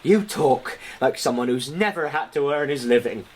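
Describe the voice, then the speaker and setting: An actor playing a rough, proud young servant, speaking bluntly and colloquially in a radio drama. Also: male voice, mid-range voice, animated, moderate pace, a little mellow, slight background noise, lightly acted. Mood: bitter, resigned.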